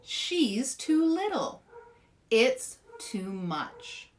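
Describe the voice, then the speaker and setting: Woman reading as fretful, animated male schoolteacher. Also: sits high, very slow, reverberant, far from the mic, full character voice. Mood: cautioning. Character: anxious, fretting male schoolteacher. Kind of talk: storybook read-aloud.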